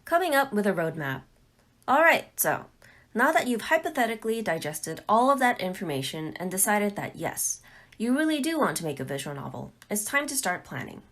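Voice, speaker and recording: A woman's voice: medium pitch, big pitch swings, moderate pace, fairly bright tone. A woman presenting a scripted tutorial as a narrator.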